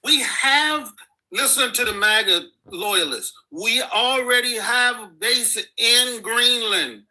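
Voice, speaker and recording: A man calls in to a talk show with a high, very bright voice. He starts out halting, with repeated words. Then he argues bluntly in loose, slangy speech. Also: low-quality recording. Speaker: caller. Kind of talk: casual call-in opinion.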